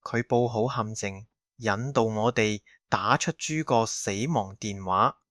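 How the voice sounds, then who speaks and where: Male actor as bitter haunted man, brooding, unhurried, audio drama, very dry recording. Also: on the low side, a bit bright, no room sound. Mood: troubled. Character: haunted, bitter man hiding for thirty years, resigned. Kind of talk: Cantonese audio drama acting.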